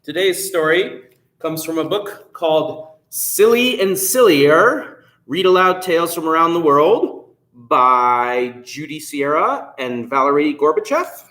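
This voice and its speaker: Animated guy reading a folk tale to kids, bit high voice, echoey room. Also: slow pace. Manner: animated, playful, chatty with children. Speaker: library storyteller. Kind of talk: children's storytime telling. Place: library storytime room.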